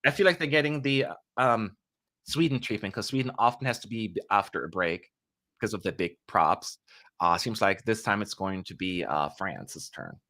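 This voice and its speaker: A man's voice: on the low side, animated, moderate pace, pushed and bright. A young man hosting a live stream, chatting casually with playful asides and jokes. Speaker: livestream host.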